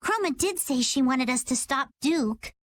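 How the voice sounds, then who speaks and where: Young female game heroine, sweetly sincere, high register, unhurried and animated. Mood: gentle, earnest. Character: earnest, gentle, polite young noblewoman heroine. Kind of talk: video game voice acting.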